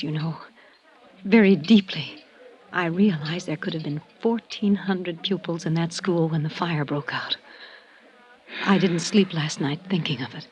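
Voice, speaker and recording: An American actress playing an uneasy, earnest small-town schoolteacher in a 1950s radio drama, speaking haltingly and with grave sincerity. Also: female voice, lowish voice, lightly acted. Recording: dull lo-fi vintage radio recording.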